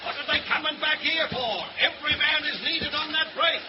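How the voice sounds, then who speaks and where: A man's voice: very high-pitched, fairly quick, raised voice. An actor playing a bossy, worried Australian country father in a 1930s radio serial, speaking urgently and giving orders. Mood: commanding. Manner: urgent, commanding. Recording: muffled, noisy 1930s radio recording.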